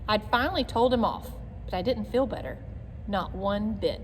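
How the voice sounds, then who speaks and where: A woman reading a children's chapter book aloud in an expressive, clearly phrased narration style. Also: medium pitch, moderate pace. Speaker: read-aloud reader. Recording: long echoey reverb, background noise.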